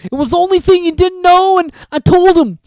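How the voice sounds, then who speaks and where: A reader voicing a huge, soft-hearted, bearded male groundskeeper who talks loudly and roughly. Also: mid-range voice, moderate pace, dry recording, pitched above the performer's own voice, natural voice. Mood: sobbing. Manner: loud, blubbering and rough-spoken.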